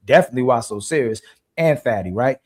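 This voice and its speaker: A man hosting a casual podcast debate, animated and repeating names to cut in. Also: mid-range voice, fast talker. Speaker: podcast host.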